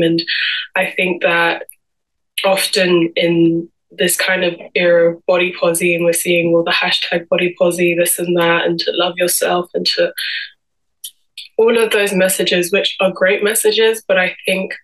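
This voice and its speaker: A woman's voice: on the low side, a bit bright, low-quality recording. A woman in her early twenties with a London accent, giving a reflective personal talk in a vlog, feeling her way through her phrases. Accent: London English. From London, England. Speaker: guest speaker giving a personal talk to camera.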